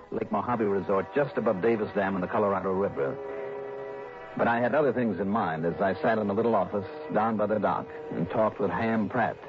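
An American man playing a sharp, self-assured investigator in a 1960s radio drama, clipped and businesslike. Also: lowish voice, natural voice. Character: sharp, self-assured male insurance investigator narrating his case. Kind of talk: 1960s radio drama acting, first-person narration. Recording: dull vintage radio recording with music.

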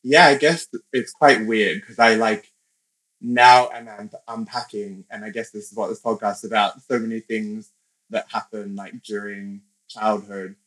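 A young podcast guest from Australia speaks in a low, level voice. He talks thoughtfully and casually, pausing often and filling gaps with "like" and "I guess". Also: fairly even intonation, rough recording. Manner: reflective, soft-spoken, many fillers. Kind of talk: casual interview talk.

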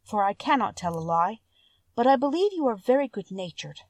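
A woman's voice: on the high side, sing-song, brisk, a little mellow, no echo. A woman voicing a kind, timid young woman in a fairy tale, with an earnest, polite and formal manner. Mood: polite, honest. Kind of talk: storybook character voice.

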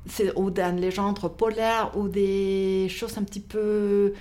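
A woman's voice: medium pitch, flat delivery, slow pace, mellow. A woman bookseller, not a native French speaker, talking about books in an interview, hesitant with many fillers and restarts. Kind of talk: interview conversation.

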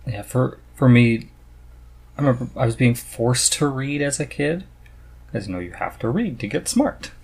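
A man hosting a podcast, chatting casually and haltingly as he thinks aloud. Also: mid-range voice, lively intonation, gravelly, darkish tone, breathy edge. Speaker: podcast host. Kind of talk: casual conversation about reading.

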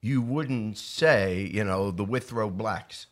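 A man's voice: fairly low pitch, slow pace. An American man giving conversational opinion commentary, earnest and emphatic, posing rhetorical questions. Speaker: talk show host.

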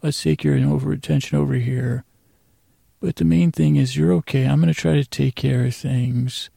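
A man with a gravelly voice hosts a bedtime podcast, droning along in a meandering, halting monologue full of tangents. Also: medium pitch, steady tone, warm tone, no echo, clean studio sound. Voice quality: creaky, gravelly. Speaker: podcast host.

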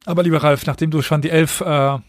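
A man's voice: fairly high pitch, fairly even intonation, quick pace, no room sound, studio quality. A man co-hosting a podcast quiz, chatting casually, putting questions and judging the answers. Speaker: quiz co-host.

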